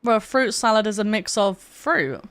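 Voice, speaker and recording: A woman's voice: medium pitch, lively intonation, unhurried, fairly bright tone, dry recording. A woman livestreamer chatting casually while gaming, with a sweary, joking and rambling manner. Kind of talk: casual game stream chat. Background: game music.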